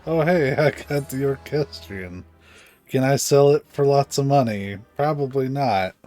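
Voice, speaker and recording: A man's voice: medium pitch, a little slow, a little mellow. A man streaming a video game with casual, blunt, sweary commentary. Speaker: gameplay streamer. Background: game music.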